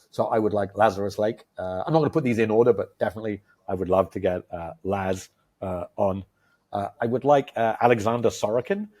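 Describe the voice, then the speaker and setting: A man in his fifties co-hosting a podcast, talking casually and with enthusiasm, telling stories full of fillers and sudden bursts of excitement. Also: fairly low pitch. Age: 50s. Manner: enthusiastic, animated storyteller with many fillers. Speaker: podcast co-host.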